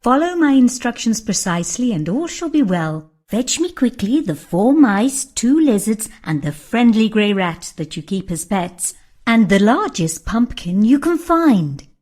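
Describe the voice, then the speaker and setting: A woman's voice: a little high, big pitch swings, unhurried, darkish tone. A woman voicing a kindly, magical fairy godmother in a children's fairy tale, reassuring and precise. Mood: instructing.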